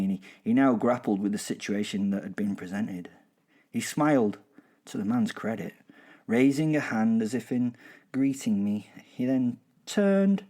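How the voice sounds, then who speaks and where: A man's voice: mid-range voice, warm tone, lightly acted. A man playing an arrogant, savage werewolf who narrates a horror audio drama in a theatrical, sardonic way, savouring his words. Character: arrogant, gleefully savage werewolf killer telling his hunts.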